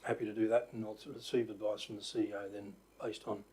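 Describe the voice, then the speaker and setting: A man with an Australian accent chairing a council meeting as mayor, his voice croaky, talking in a hesitant, polite way with many fillers. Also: fairly low pitch, level tone, fast talker, warm tone. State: croaky voice after illness. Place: council chamber.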